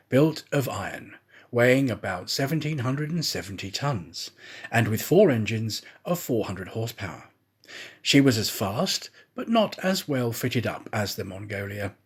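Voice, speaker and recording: A man reading a classic novel aloud as a storyteller, with a theatrical, measured delivery. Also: mid-range voice, husky. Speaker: storyteller, audiobook reader. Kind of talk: scripted narration of a classic novel.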